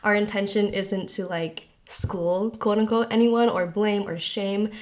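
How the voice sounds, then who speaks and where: A woman's voice: medium pitch, steady tone, unhurried. A woman hosting a podcast, talking in a friendly, chatty way and saying "like" often. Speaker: podcast host. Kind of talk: casual podcast chat.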